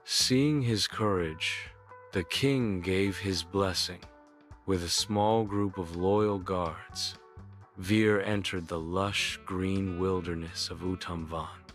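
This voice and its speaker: Male narrator for bedtime tale: low voice, unhurried, solemn, formal, soft music bed. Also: steady tone. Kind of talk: scripted bedtime story narration.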